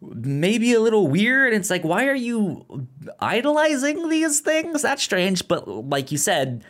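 A man co-hosting a casual film discussion, speaking haltingly and often stammering and repeating his words. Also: high-pitched, sing-song, a bit bright. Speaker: podcast co-host. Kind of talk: casual chat about a film.

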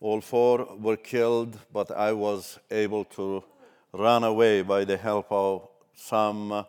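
An older man from Iran whose first language is Turkish, giving a measured, halting personal testimony as a ministry speaker. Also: lowish voice, little pitch movement, a little mellow, smooth.

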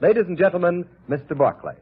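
A man's voice: high voice, animated, fast talker, a little hiss or hum. A male announcer reading scripted copy with emphatic salesmanship in 1930s radio style, on a tinny old recording.

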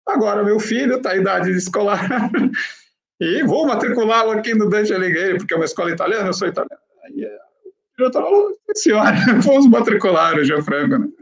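A man's voice: sits high, expressive, a little fast, gravelly. A man with a Brazilian Portuguese accent, speaking conversationally as an interview guest and often checking in with short tag questions. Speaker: interview guest, company professional.

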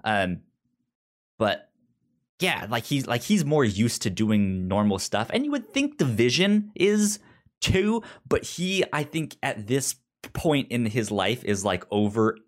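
A man's voice: medium pitch, sing-song, slow. A man co-hosting a podcast, explaining stories in casual conversation, often repeating words and syllables as he goes. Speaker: comics podcast co-host. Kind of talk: casual chat, retelling and explaining comic plots.

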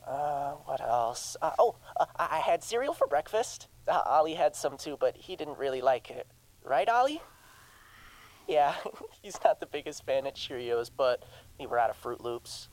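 A woman voicing an earnest, animated young boy. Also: sits low, normal speed. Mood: curious, rambling. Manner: hesitant, stammering, pleading. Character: earnest, timid twelve-year-old boy attached to stuffed octopus. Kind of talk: audio drama acting.

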